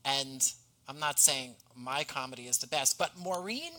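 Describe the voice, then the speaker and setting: Bright-voiced, effusive man in conversation. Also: medium pitch, normal speed. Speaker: podcast guest, audio drama writer and actor. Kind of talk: conversational interview.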